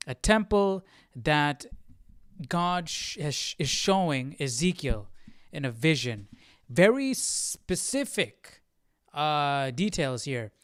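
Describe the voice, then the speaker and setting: A man hosting a live Bible study in a casual, halting, conversational way, often checking in with listeners as he explains. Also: a little high, very animated, a bit bright, clean tone, no room sound, clean studio sound. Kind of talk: casual livestream Bible teaching.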